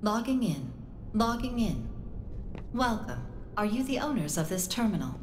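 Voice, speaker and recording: A woman's voice: medium pitch, very animated, normal speed, a little hiss or hum, close to an everyday voice. A woman voicing a polite computer terminal in a video game, making formal, procedural announcements. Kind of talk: video game cutscene acting.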